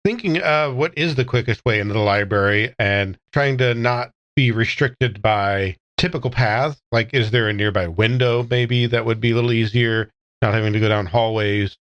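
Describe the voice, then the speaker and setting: A man's voice: medium pitch, moderate pace, a bit bright, muffled recording. A man playing a tabletop game, casually describing and thinking through what his character does. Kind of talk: casual improvised game talk.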